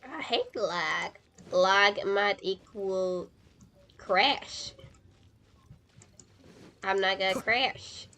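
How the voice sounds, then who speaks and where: Bright-voiced woman streaming a game. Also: medium pitch, lively intonation, drawn-out words, pauses often. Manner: playful, rambling self-talk. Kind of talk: casual live gameplay commentary.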